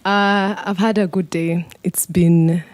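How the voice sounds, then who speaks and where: A Kenyan woman talks in an informal, chatty way. Her tone is mellow and the sound is fairly dry, with little room around it. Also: on the low side, steady tone, takes it slowly, no room sound. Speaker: writer. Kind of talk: casual chat.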